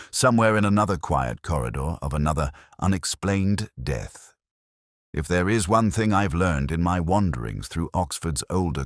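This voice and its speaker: Very deep-voiced man narrating a mystery. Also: no echo, clean studio sound. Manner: measured, reflective, literary phrasing. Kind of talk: scripted first-person audio drama narration.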